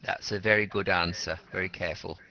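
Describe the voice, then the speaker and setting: Deep-voiced male teacher, bright edge. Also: fairly even intonation, brisk. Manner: explains words simply, repeating and rephrasing. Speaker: teacher of an English class. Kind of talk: interactive classroom lecture, questioning students. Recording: dull, lo-fi classroom recording.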